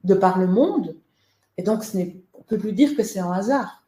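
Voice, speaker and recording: A woman's voice: mid-range voice, lively intonation, normal speed, warm tone, reverberant, a little hiss or hum. A woman from Belgium, the guest author in a webinar interview, talking in a hesitant way with many fillers and restarts.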